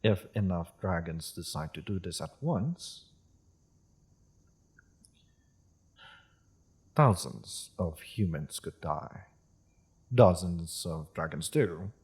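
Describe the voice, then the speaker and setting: A man playing a polite, solemn half-dragon in a fantasy audio roleplay, with husky tone and formal, measured phrasing. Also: sits low, expressive, a little mellow, heavily acted. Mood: grave, solemn. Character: polite, solemn male half-dragon seeking peace with humans.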